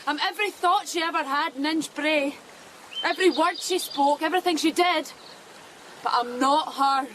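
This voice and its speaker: An alien in a young daughter's shape, earnest and searching. The voice is very high and formally phrased, a radio play part with faint outdoor noise behind it. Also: female voice, normal speed, fairly bright tone. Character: orphaned alien in a dead daughter's shape, questioning. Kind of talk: radio drama acting.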